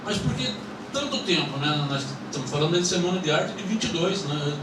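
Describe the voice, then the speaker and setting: A man moderates a panel, asking long questions in a somewhat high voice and padding them with tag questions. He sounds distant in a very echoey room with clear background noise. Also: lively intonation, brisk. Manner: wordy questions, frequent tags.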